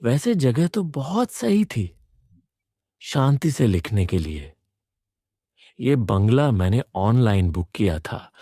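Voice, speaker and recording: A man narrating a scripted first-person horror story in a suspenseful, deliberate manner. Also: mid-range voice, mellow, no room sound, studio quality.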